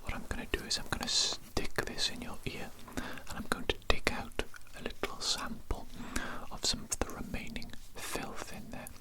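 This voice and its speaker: A woman's voice: natural voice, poor audio. A woman playing a smug, scolding evil doctor in a whispered, theatrical ASMR roleplay, condescending and repeating phrases. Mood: gloating, condescending. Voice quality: breathy unvoiced whisper. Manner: slow, repetitive, condescending, theatrical. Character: smug, scolding evil mad doctor, vain about their genius.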